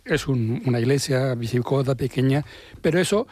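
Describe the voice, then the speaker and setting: A man's voice: mid-range voice, animated, moderate pace, darkish tone, no echo. A man, a seasoned traveler on a radio show, speaking reflectively and with enthusiasm. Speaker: travel journalist, show regular. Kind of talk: casual radio chat.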